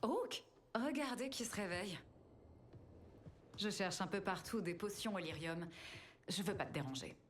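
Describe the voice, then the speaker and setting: An actress playing a caring, practical adventuring companion in a game, friendly and conversational. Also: female voice, medium pitch, expressive, moderate pace, long pauses, a little hiss or hum, lightly acted.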